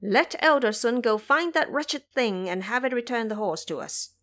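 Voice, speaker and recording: Woman voicing a brash, hot-tempered monkey disciple, high-pitched. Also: animated, normal speed, a bit bright. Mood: exasperated, angry. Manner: brash, impatient, ranting. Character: hot-tempered, boastful male monkey disciple with magic powers.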